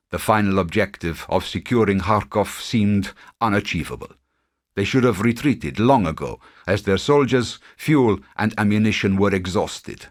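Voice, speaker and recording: A man narrating a war game cutscene in a grave, measured, scripted documentary style. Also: lowish voice, natural voice. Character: solemn male narrator of a war campaign.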